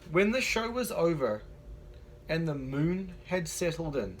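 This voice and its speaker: A man's voice: on the high side, very animated, unhurried, a little hiss or hum. A man teacher reading a picture book aloud to young children, encouraging them and asking them questions as he goes.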